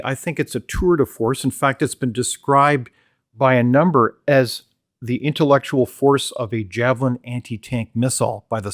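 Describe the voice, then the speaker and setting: A man's voice: medium pitch, no echo, studio quality. A man hosting an interview, asking formal, admiring questions with a few stumbles. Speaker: interview host.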